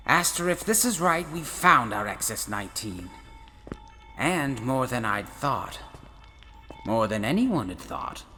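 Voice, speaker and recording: A man as an intense, bright-voiced space professor. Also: medium pitch, big pitch swings. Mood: baffled, intrigued. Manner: theatrical, deliberate, emphatic. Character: intense, protective scholarly male professor exploring space. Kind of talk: sci-fi audio drama acting. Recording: long hall reverb, faint music behind.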